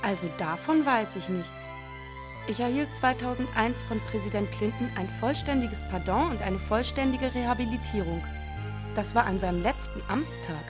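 Actress as a composed high-pitched society woman guest. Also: normal speed, over music, lightly acted. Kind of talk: German radio play talk show.

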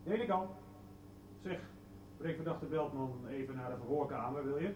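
An actor playing a composed, businesslike police detective in a radio soap, speaking with measured authority. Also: male voice, mid-range voice, darkish tone, highs cut off, slight background noise, natural voice. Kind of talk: 1990s radio soap acting.

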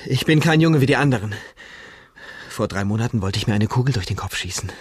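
An actor in a radio drama playing a feverish, melodramatic young bohemian vagabond who speaks with solemn, pleading theatricality. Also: male voice, medium pitch, animated, quick pace, husky, no echo. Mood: urgent, confiding.

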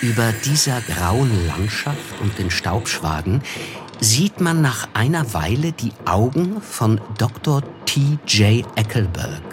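An actor playing a reflective, wryly observant gentleman who narrates a literary radio play in a measured way. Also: fairly low pitch, noisy background. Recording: music under the voice. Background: music.

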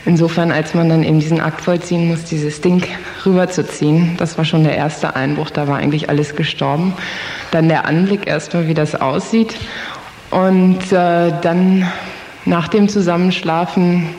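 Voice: medium pitch, little pitch movement, moderate pace, darkish tone. Candid speaker in a reverberant room. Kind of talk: candid personal anecdote. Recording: very echoey room, faint noise.

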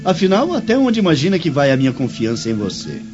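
An imperious Roman master sneers in a highly animated, theatrical radio drama voice. Clear music plays behind him, and the recording is muffled. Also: male voice, quick pace. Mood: imperious, mocking. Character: arrogant, scheming Roman master ordering his slave.

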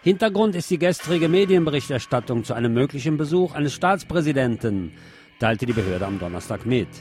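A man's voice: medium pitch, sing-song, a little fast, darkish tone. Man reading news, faint music behind. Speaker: host. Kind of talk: reading news aloud with casual commentary.

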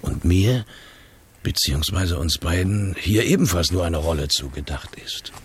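Very husky, resentful put-upon secretary. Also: male voice, low-pitched, lively intonation, normal speed, full character voice. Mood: brooding. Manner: hesitant, guarded, bitter under his politeness. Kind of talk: radio play acting.

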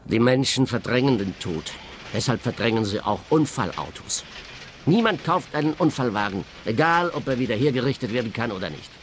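Schauspieler als ernster Schrottplatzchef, leichte Hintergrundgeräusche. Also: male voice, medium pitch, very animated, a little fast, a little hiss or hum, natural voice. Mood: solemn. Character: earnest, matter-of-fact male scrapyard boss. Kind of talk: radio play dialogue.

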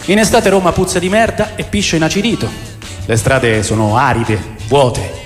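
An actor playing a restless, world-weary man who recounts wild rock-and-roll memories in a gravelly, theatrical radio drama narration. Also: mid-range voice, close to an everyday voice. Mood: brooding, wry. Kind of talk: dramatic first-person scripted narration. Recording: long studio reverb under music. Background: music.

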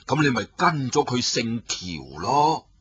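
A man voicing an old male Buddhist abbot who speaks in a slow, solemn, heavy way. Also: medium pitch, big pitch swings, a bit bright, breathy edge, dry recording, exaggerated voice. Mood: grave. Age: older.

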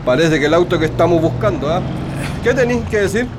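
A man's voice: sits high, lively intonation, brisk, background noise. A man playing a harsh Chilean police officer in a radio drama, barking curt orders and interrogating. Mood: stern, suspicious.

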